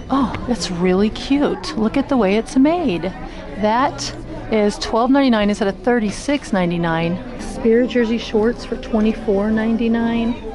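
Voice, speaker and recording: A woman filming herself in a big indoor store on a body camera, eagerly gasping over finds and reading out prices. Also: mid-range voice. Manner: excited, gasps at finds, reads out prices. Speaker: vlogger showing outlet deals. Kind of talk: casual walk-through shopping vlog. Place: large indoor retail store. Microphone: body-worn action camera. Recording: music playing in the store behind her. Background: store music.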